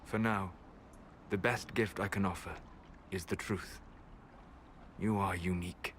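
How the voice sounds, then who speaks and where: Aloof elven mage, low and measured, faint room hiss behind. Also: male voice. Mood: solemn. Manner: calm, measured, soft-spoken. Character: aloof elven male mage, scholarly and guarded. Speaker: video game character. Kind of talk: game cutscene dialogue.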